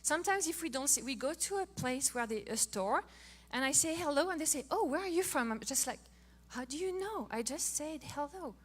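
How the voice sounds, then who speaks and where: A middle-aged woman from France with a French accent, giving a playful, animated talk on marriage as a co-host. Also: high voice, a little fast, few pauses. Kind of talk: casual talk to an audience.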